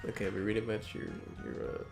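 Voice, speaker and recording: A man's voice: lowish voice, very animated, fairly quick, gravelly. A young man reading aloud and chatting casually, with skeptical, hesitant asides. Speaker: co-host reading a wiki aloud with commentary. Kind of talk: casual chat and read-aloud. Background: faint guitar music.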